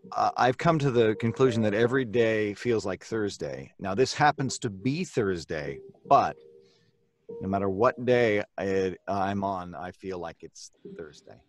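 A man's voice: on the low side, expressive, a little slow. A middle-aged man with a North American accent, chatting casually and wryly as a guest. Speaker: podcast guest. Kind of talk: casual chat. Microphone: video call.

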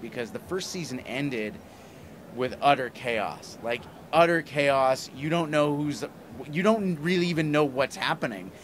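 A man's voice: medium pitch, fairly bright tone. A middle-aged man talking enthusiastically and emphatically in a casual interview, rambling a little as he goes. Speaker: comic writer, podcaster and interview guest. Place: small plywood booth at a convention. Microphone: handheld microphone held by interviewer. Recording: clear background noise at convention.

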